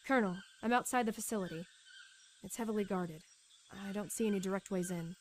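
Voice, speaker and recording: A woman's voice: medium pitch, fast talker, slight background noise, lightly acted. A woman playing a feisty young rookie soldier in a radio drama, reporting in a wry, clipped, gutsy manner. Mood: uneasy. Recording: radio drama with music behind.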